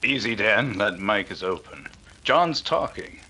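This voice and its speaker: A man playing a calm, dryly witty detective with an air of authority in a 1930s radio drama. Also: on the low side, a little slow, heavily acted, rough recording. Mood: cautioning.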